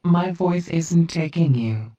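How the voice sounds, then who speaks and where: A hypnotist speaking a slow, soothing, repetitive guided trance script. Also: mid-range voice, expressive, mellow, lo-fi sound.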